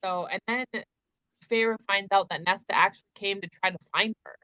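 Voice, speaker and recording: A woman's voice: medium pitch, fairly even intonation, moderate pace, pushed and bright, smooth, no room sound, dull audio. A woman hosting a casual book discussion, retelling in an emphatic, conversational way peppered with filler words.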